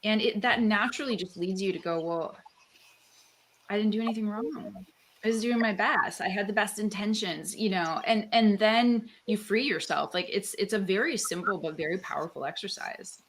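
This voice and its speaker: A woman's voice: medium pitch, moderate pace, a bit bright. A woman from Canada, a guest author, talking reflectively in a casual interview, with lots of "like" and "um" fillers. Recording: faint background noise.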